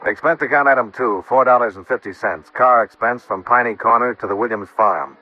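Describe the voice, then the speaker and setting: An actor playing a wry, businesslike American insurance investigator in 1950s radio detective style. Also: male voice, mid-range voice, a little fast, close to an everyday voice. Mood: businesslike narrating. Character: brisk, probing male freelance insurance investigator, wry and businesslike. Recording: muffled old radio, faint music.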